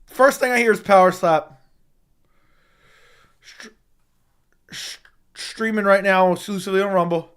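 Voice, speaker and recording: Hoarse, excitable man on a livestream. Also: high voice, a little slow, long pauses. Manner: excitable, profane, reacting to news live. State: losing his voice. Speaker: MMA YouTube host. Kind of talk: casual livestream chat.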